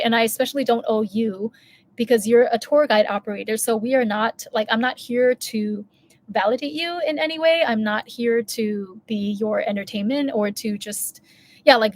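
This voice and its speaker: Chatty woman telling a story. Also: on the high side. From United States. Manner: chatty, candid, rambling with many asides and self-corrections. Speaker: vlogger. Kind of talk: casual storytime vlog.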